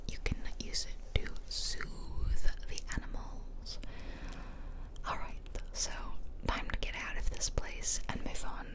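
Whispering woman, playful ASMR gamer. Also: slow. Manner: playful, chatty, self-amused. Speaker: game streamer and ASMR host. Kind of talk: whispered ASMR gameplay commentary. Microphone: close ear-to-ear microphone.

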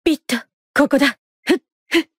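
A woman's voice: high-pitched, fairly quick, no room sound, clean studio sound, lightly acted. An actress playing a poised, haughty young female knight in a fighting game, speaking with formal, imperious politeness and clipped battle cries. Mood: fierce, exultant.

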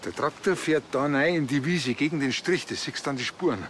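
An actor playing an excitable know-it-all village policeman with a Bavarian accent in a television crime comedy. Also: a little high, fairly quick, a little hiss or hum, natural voice. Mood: self-assured. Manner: grumbling, animated.

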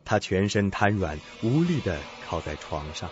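A man's voice: low voice, sing-song, moderate pace. A man narrating a scripted suspense radio drama in a dramatic, ominous storytelling manner. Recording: dull lo-fi recording with music bed.

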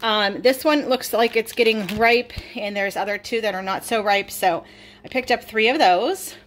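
A woman's voice: fairly high pitch, moderate pace. A middle-aged woman chatting casually with many ums while she shows off her groceries in her home kitchen, filming with a phone. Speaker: vlogger showing a grocery haul. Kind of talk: casual chat over a grocery haul.

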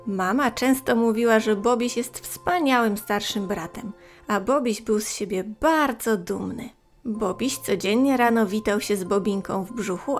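A woman with a fairly high voice reads a children's story. Her phrasing is clear, gentle and expressive, with faint music underneath. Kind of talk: scripted storytelling for young children.

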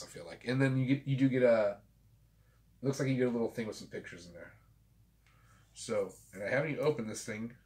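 Brief: fortyish man, casual tipsy rambler, mid-pitched everyday voice, small home room on a webcam. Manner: loose and chatty, swears freely, tipsy. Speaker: vinyl collector channel host. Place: small home room with framed pictures and a guitar.

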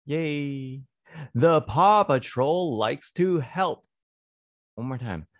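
A man in his thirties giving a read-aloud English phonics lesson for children, deliberate and repetitive, close to a desk microphone in a small room. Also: medium pitch, animated, no echo. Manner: deliberate, repeats words and sentences. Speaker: English reading teacher for children. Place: small indoor home studio. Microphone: close desk microphone on boom arm.

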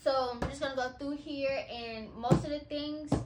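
A woman's voice: high-pitched, steady tone, normal speed, very noisy. A woman in her twenties vlogging casually in a nursery at home, chatting to the camera while she sorts clothes and packs boxes. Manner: chatty, talks while packing and folding. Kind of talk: casual vlog chat to camera. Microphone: camera set on the floor.

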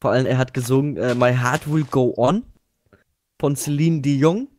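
A man's voice: medium pitch, normal speed, darkish tone, slight background noise. A man chatting casually in a group call while gaming, giving his opinions in an earnest way. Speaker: co-player.

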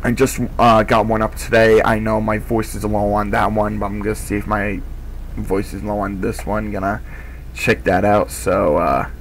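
A man's voice: lowish voice, flat delivery, normal speed, slight background noise, rough recording. A young man narrating a replay of his own strategy game match in a casual, rambling way, with many fillers and restarts.

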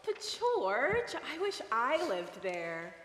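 Woman acting a coy young sweetheart, highish lilting voice, slow pace, 1940s radio drama, echoey hall. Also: wildly swooping pitch, a bit bright, full character voice. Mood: sweet, coy. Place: large church stage before an audience. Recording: echoey hall, some background noise.

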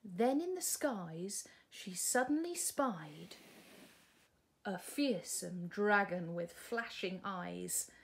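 A woman's voice: mid-range voice, animated, extremely slow, vocal fry. A British woman in her forties narrating a children's storybook expressively, as a teacher would.

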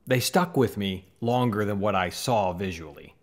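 A man's voice: fairly low pitch, moderate pace. A man in his thirties with a Midwestern accent, from the American South, talking earnestly to camera as host of a magic channel in a small home studio. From Southern United States. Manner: earnest, conversational, gestures with hands. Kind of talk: casual talk to camera. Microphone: studio mic on boom in front.

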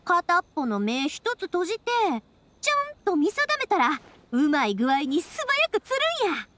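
A woman's voice: sits high, wildly swooping pitch, moderate pace, noisy background. A woman voicing a cheerful, confident young big-sisterly festival character in an anime game, with a Kansai accent. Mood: confident, encouraging.

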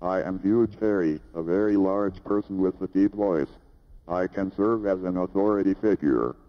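Fields: Figure: huge deep robotic man played by a speech synthesizer. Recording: dull. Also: moderate pace, close to an everyday voice. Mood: commanding. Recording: dull playback.

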